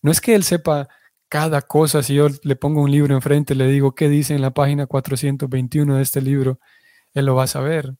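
A man giving a religious class, explaining things in a measured way and often restarting his sentences as he searches for words. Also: medium pitch, level tone, dark tone, dry recording, studio quality. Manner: explanatory, restarts and self-corrections. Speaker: devotional teacher.

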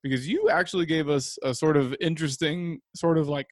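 A man's voice: a little high, a little fast, dry recording, studio quality. A man co-hosting a podcast, teasing the others and steering the casual chat over a video call. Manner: teasing, laughs easily. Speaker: podcast co-host.

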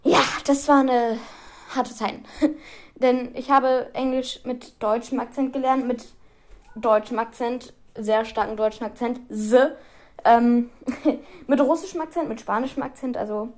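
A woman's voice: fairly high pitch, steady tone, measured pace. Giggly teenage girl rambling. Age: teens. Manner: chatty, giggly, rambling with many restarts. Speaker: vlogger. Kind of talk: casual chat to camera.

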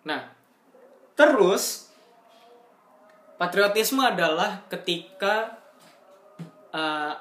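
A man's voice: sits high, lively intonation, very slow, pauses often, a bit bright, roomy sound. A young man co-hosting a casual chat, explaining ideas through everyday examples in slangy talk and laughing often.

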